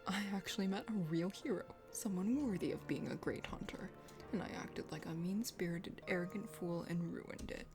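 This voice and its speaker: A woman voicing a contrite, insecure young dragon prince in a soft, breathy ASMR game reading. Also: lowish voice, moderate pace, voice pushed down, close to an everyday voice. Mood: regretful. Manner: earnest, self-deprecating. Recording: soft music behind.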